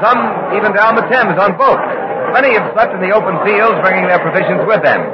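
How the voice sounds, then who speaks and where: A man's voice: high voice, a little fast, a loud crowd, natural voice. An American man as a radio news reporter, giving formal, polite, descriptive commentary in 1950s style. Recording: dull, noisy vintage radio recording.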